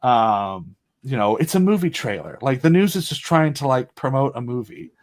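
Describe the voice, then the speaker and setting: A man's voice: mid-range voice, expressive, normal speed. A man talking as a guest in a casual chat, enthusiastic and rambling, with lots of fillers and restarts. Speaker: podcast guest and audio drama creator.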